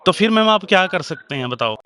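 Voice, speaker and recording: A man's voice: sits high, very animated, fairly quick, sharp tone. A man making a heated phone complaint, arguing insistently and repeating his demands.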